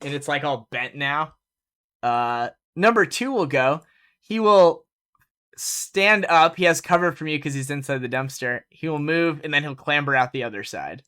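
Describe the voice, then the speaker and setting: Man, game master, bright tone, lively, filler-heavy. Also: a little high, moderate pace, clear, resonant tone. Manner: animated, laughs often, many fillers. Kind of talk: narrating a tabletop game.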